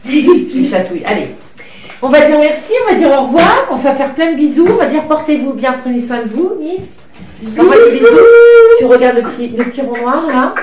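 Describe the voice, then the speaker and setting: A woman's voice: high-pitched, lively intonation, fairly quick. A woman reading tarot cards in a rambling, hesitant way with many fillers, stuffy from a cold and coughing now and then, talking fondly to her cat and child. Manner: affectionate, talks to her pet and child. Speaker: tarot card reader. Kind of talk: casual card-reading chat. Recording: echoey room, distant mic, light noise.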